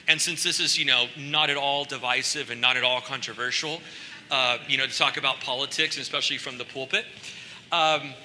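A middle-aged man, born in Costa Rica, preaching a conversational sermon on a large church stage with animated asides. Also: fairly high pitch, a little hiss or hum. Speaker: pastor. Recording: long hall reverb.

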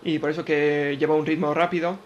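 A man from Spain giving quick, animated opinions in a casual podcast chat. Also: fairly high pitch, highs cut off, a little hiss or hum. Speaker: podcast co-host.

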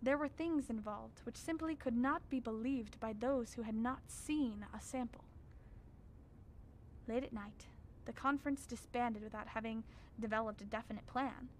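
A grave scholar, played by a woman, recounts horrors in a radio drama. The voice sits fairly high, formal and measured with an ominous weight, over faint background noise. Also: natural voice, rough recording. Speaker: actor narrating. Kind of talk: radio drama narration as a written record.

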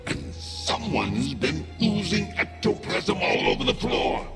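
A haughty otherworldly spirit from a video game. The voice is heavily creaky and swoops dramatically over loud music. It taunts and threatens with grand, theatrical contempt. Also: male voice, fairly high pitch, big pitch swings, slow pace, full character voice. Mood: gloating. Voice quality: gravelly. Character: haughty, ranting otherworldly possessor spirit in a man's body. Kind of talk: game cut-scene acting.

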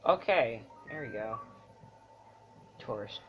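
A muffled, lo-fi recording with game music clearly behind the voice. A young man rambles through casual gameplay commentary in mild slang, his pitch swinging in a lively way as he exclaims. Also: slow pace. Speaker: let's play gamer. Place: room in front of a TV screen. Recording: dull lo-fi camera mic, loud game audio. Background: game music and sound.

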